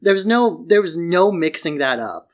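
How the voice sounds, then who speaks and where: Higher-voiced man chatting on a podcast. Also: very animated, normal speed. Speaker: podcast co-host. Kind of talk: casual chat, opinionated commentary.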